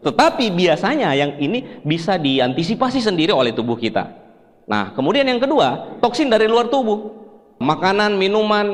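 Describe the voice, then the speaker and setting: A man from Sulawesi, Indonesia, giving a health seminar in an explanatory, conversational way, putting questions to his listeners. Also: fairly high pitch. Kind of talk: presentation to an audience. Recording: echoey hall with long reverb.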